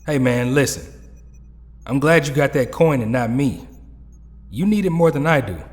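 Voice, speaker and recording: A man's voice: mid-range voice, animated, normal speed, lightly acted. A man playing a loyal, joking young former superhero in an audio drama, with laid-back, slangy talk. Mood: teasing, supportive. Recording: long hall reverb.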